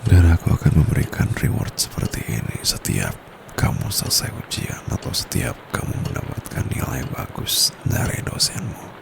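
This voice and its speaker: A man's voice: very animated, exaggerated voice. A man with an Indonesian accent playing a seductive, dominant private tutor in an intimate ASMR roleplay, murmuring and whispering smugly close to the microphone. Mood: seductive, coaxing. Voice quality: very deep, gravelly, breathy murmur. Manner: slow, teasing, drawn-out delivery slipping into whispers. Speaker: amateur voice actor. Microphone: close condenser microphone. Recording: close mic with clear background noise.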